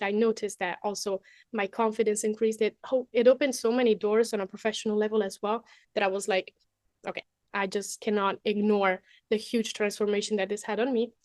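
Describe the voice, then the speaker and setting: A woman's voice: mid-range voice, dry recording. Italian-accented woman, young, presenting live online, dry close sound, lots of "okay?". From Italy. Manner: chatty, many fillers and "okay?" tags. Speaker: webinar presenter.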